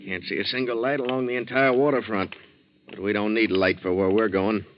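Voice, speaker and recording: An actor playing a stubborn, hot-tempered young waterfront tough with slangy, gruff delivery in 1940s radio drama. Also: male voice, fairly low pitch, fairly even intonation, brisk, slight background noise, natural voice. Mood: cocky. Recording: muffled 1940s radio recording.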